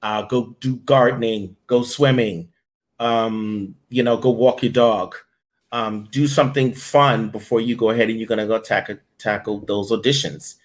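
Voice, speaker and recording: A man's voice: on the low side, fairly even intonation, normal speed. A man, a voiceover director and coach, giving blunt tough-love advice as an interview guest.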